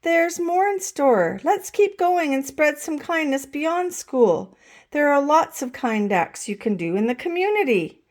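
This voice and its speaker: A woman's voice: high-pitched, lively intonation, unhurried, a little mellow, pitched above the performer's own voice. A woman voicing an upbeat, encouraging female kindergarten teacher in a storybook, with an exclaiming, sing-song manner.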